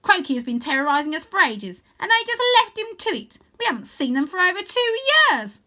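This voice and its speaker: A woman's voice: high-pitched, sing-song, brisk, sharp tone, voice pushed up, full character voice. A woman voicing a tough, mean eight-year-old girl who leads a gang in a storybook, bossy and sneering. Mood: defiant.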